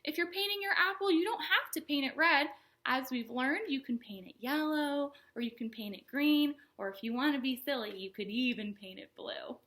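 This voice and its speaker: A woman hosting a storytime for young children, speaking in simple explanatory phrases with an animated, sing-song delivery. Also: high-pitched, moderate pace. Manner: animated, exaggerated questions and exclamations for young children. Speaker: children's librarian and storytime host.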